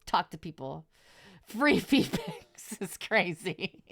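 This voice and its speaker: A woman's voice: fairly low pitch, sing-song, slow pace, gravelly, a bit bright, no room sound, clean studio sound. A woman streamer giving advice to her viewers in informal livestream chat, repeating her points for emphasis.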